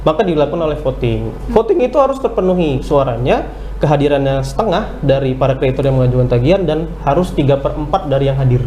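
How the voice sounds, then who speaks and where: A male guest lawyer explaining debt law through lively worked examples on a podcast. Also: on the high side, fast talker, roomy sound, slight background noise, poor audio. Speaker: guest insolvency lawyer and curator. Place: podcast studio.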